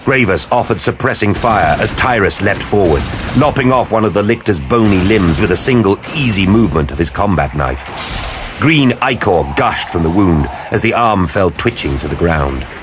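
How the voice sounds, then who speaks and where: Very deep-voiced man narrating dramatically. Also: animated, background noise. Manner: grave, theatrical, deliberate delivery. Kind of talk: dramatic scripted narration of a sci-fi war story. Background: dramatic music with battle sound effects.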